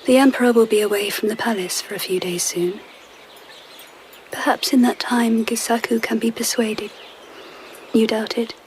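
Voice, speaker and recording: Actress as hushed, pensive empress. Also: female voice, on the high side, fairly even intonation, normal speed, long pauses, a little hiss or hum, lightly acted. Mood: uneasy, decided. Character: pensive Japanese empress, regal, quietly wistful. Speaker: actor in a radio drama. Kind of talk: naturalistic radio drama acting.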